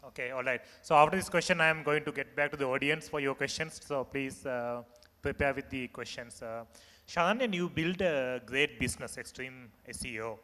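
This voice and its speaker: Guy moderating a panel, sharp bright voice, lots of uhs, echoey hall. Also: mid-range voice, normal speed. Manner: polite, prompting with hesitant fillers. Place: large conference hall.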